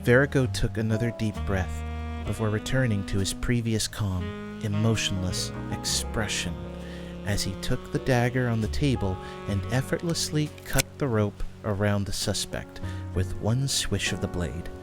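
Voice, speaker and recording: A man's voice: on the low side, moderate pace, music in the background. A man reading scripted fantasy story narration as the narrator of an audio drama.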